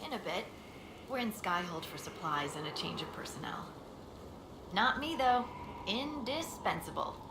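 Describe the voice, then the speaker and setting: A woman's voice: mid-range voice, animated, a little slow, pauses often. A woman voicing a young, modest, cheeky village-born scout in a video game, with a casual, playful way of talking. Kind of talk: video game dialogue acting. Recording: roomy echo, clearly noisy game capture.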